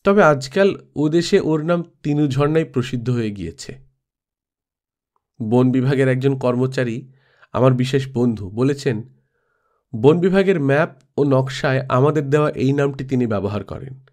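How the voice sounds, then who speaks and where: A man narrates in the manner of an audiobook storyteller. His voice sits at an average pitch for a man, and he reads composed literary prose from a script. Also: a little slow, long pauses, a little mellow. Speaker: storyteller reading a classic audiobook.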